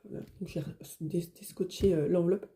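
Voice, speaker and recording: Hoarse woman vlogging, warm voice. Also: lowish voice, fairly even intonation, brisk, slight background noise. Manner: rambling, full of fillers and restarts. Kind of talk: casual chat. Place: at home, at her desk.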